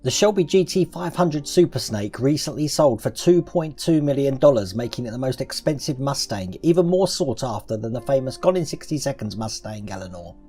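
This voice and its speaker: A man giving scripted narration for a promotional product video in an even, informational delivery. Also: medium pitch, fairly quick, few pauses, husky.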